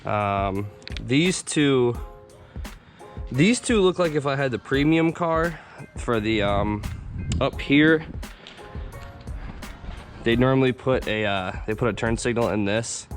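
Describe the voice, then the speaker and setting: A man with a hoarse, raspy voice from just waking up, casually talking through a car install for his vlog on a handheld camera, rambling with plenty of fillers. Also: medium pitch, slow, long pauses, soft background music. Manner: relaxed, rambling, many fillers. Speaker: car vlogger.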